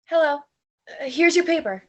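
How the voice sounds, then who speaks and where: A woman voicing a fretful, fussy older sister in a play reading, quick and worried. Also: sits high, expressive, dry recording, lo-fi sound, pitched above the performer's own voice.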